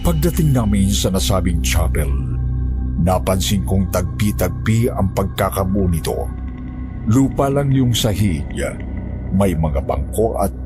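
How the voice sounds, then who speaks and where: Low-voiced man narrating over music. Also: big pitch swings, measured pace. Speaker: horror story narrator. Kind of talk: scripted first-person narration.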